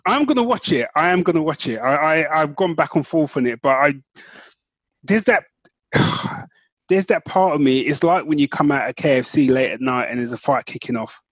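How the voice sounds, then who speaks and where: Higher-pitched man chatting casually. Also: lively intonation, normal speed, husky, no room sound. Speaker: podcast co-host. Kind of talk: casual chat about film and book news.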